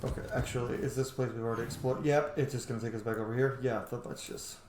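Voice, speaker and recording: A man's voice: mid-range voice, fairly even intonation, fast talker, very noisy. A man hosting a video game playthrough with casual, conversational commentary. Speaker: gamer, video host. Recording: roomy echo, game music behind.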